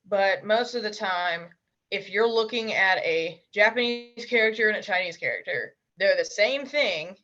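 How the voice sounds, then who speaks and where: A young woman with a bright, forceful voice chats casually as an interview guest. She is blunt and emphatic, tossing in quick asides. The sound is dull and lo-fi, like a remote call. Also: medium pitch, animated. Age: young adult. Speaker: interview guest, language learner.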